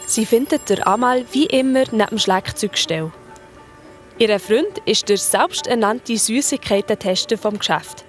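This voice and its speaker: A woman as narrator, telling a scripted children's story in a colloquial, expressive way. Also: a little high, unhurried, soft background music.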